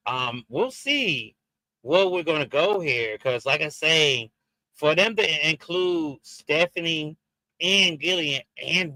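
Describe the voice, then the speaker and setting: A man's voice: mid-range voice, bright, forceful tone, dry recording, rough recording. A man with a Jamaican and Haitian accent hosts a casual streamed wrestling review, hesitant and full of fillers and restarts as he talks to his viewers. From Jamaican and Haitian background. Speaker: wrestling review show host.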